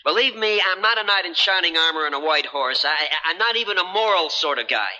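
An actor playing a wry, stubborn, suspicious adventurer in a radio drama, with hesitant, colloquial asides. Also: male voice, on the high side, animated, fast talker, bright, forceful tone, in a large hall, dull audio. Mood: stubborn, defiant. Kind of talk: 1970s American radio drama acting. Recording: vintage radio drama broadcast.

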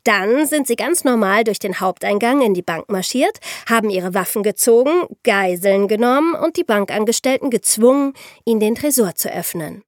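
A woman hosting a podcast, telling true-crime stories in a conversational way and addressing listeners directly, like an animated storyteller. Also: medium pitch, normal speed, no room sound, studio quality. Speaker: podcast host.

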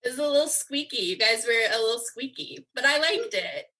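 A woman's voice: sits high, animated, moderate pace, shouting, very noisy. A woman drama instructor, talking informally as she coaches and explains.

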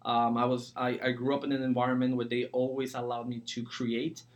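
Podcast guest: man, forties, from Mexico, mid-pitched voice, conversational entrepreneur. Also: little pitch movement, brisk. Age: 40s.

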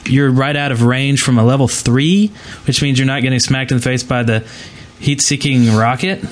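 Male podcast host, medium pitch, casual gaming-show chat. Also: moderate pace.

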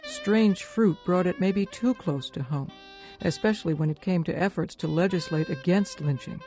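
A woman's voice: lowish voice, moderate pace. A woman presenting a scripted radio documentary as host and narrator. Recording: music playing underneath. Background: music.

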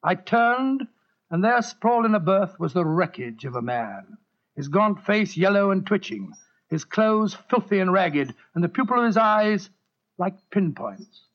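An earnest, upright doctor tells the story in a dramatic, measured way. His voice sits high for a man, and the recording is dull, like an old radio broadcast. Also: animated. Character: earnest male doctor narrator, upright and indignant. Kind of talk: 1940s radio acting, first-person narration.

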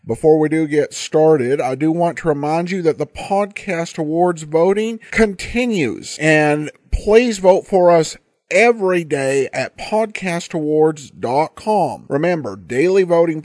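A man's voice: on the high side, a little mellow, no room sound, clean studio sound. A man from Idaho hosting a podcast, giving a friendly, promotional introduction. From Idaho, USA. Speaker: podcast host.

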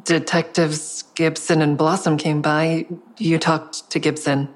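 A woman's voice: low voice, level tone, a little slow. An actress playing a sleepless, guarded woman in an audio drama, confiding and understated as she narrates and talks. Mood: guarded concern. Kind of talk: audio drama first-person narration and dialogue.

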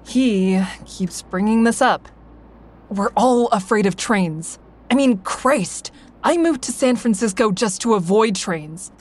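A woman's voice: medium pitch, animated, takes it slowly, a little mellow. Wry, guarded woman narrator. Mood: weary, tense. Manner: wry, dry humor. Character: guarded, wry woman narrating her trauma survivors' group. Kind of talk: first-person audio drama narration.

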